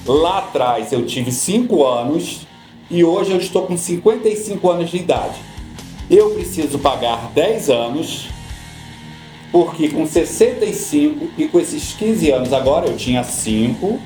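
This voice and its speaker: A middle-aged Brazilian man, a guest on a podcast, who explains pension rules patiently and often repeats his points to calm the listener. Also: on the high side, a little slow, roomy sound, faint music behind. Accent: Brazilian Portuguese. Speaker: social security law guest.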